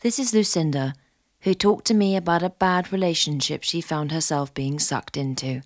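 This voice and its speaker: Low-voiced woman narrating, very dry sound. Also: moderate pace, no echo. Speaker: podcast host. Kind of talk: scripted narration with poetry reading.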